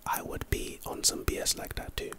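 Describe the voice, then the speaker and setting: Male, London accent, whispered, crisp bright sound, casual ASMR commentary, slangy, rambling, playful. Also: normal speed. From London, England. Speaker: host reading and reacting to trivia.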